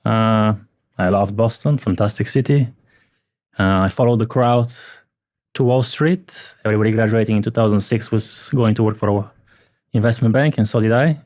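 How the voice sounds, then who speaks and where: A man in his forties from Bulgaria, chatting on a podcast as a guest and telling his story in a relaxed, wry way. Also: lowish voice, normal speed, dry recording, clean studio sound. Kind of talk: casual podcast chat.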